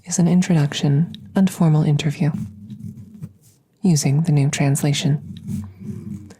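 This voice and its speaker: Low, soft-spoken alien scientist played by a woman. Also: fairly even intonation. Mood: measured, explanatory. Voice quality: soft, breathy close whispering. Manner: measured, halting, formal, clinical phrasing. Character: female alien scientist, clinical, curious, calm examiner. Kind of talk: whispered ASMR audio roleplay. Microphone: close-up microphone.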